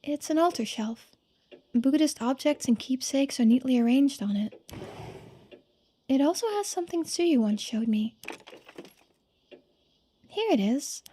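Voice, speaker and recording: A woman voicing a polite, thoughtful young game character who muses aloud in a soft-spoken, reflective way. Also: sits high, expressive, a little slow, long pauses, slight background noise, pitched above the performer's own voice. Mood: quiet, reflective. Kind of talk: voiced game dialogue and narration.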